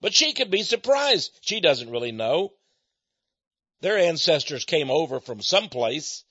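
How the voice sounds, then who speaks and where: A man's voice: fairly high pitch, sing-song, a little slow, pushed and bright, husky, no echo, dull audio. An American man giving a rambling personal monologue to his listeners, folksy and digressive, with frequent chuckles. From United States. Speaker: speaker addressing listeners.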